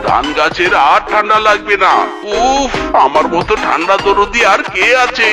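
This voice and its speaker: A man's voice: sits high, expressive, moderate pace. A man voicing a foolish, whiny fox husband in a comedy cartoon, with exaggerated exclamations. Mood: smug, delighted. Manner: exaggerated wailing, self-pleased exclamations. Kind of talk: Bengali comedy cartoon acting. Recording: music under the voice.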